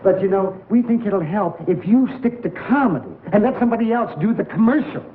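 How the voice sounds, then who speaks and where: Flustered, high-pitched businessman, tinny recording. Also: animated, a little fast. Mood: flustered, apologetic. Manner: stammering, apologetic, dithering. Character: flustered, fussy male corset company sponsor. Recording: tinny 1960s television soundtrack, faint noise.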